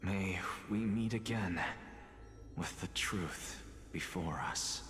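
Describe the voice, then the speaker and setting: A haughty proud scholar. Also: male voice, deep voice, takes it slowly, fairly bright tone, soft background music. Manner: haughty, commanding, sighs often. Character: proud male scholar, arrogant, intense. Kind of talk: video game voice acting.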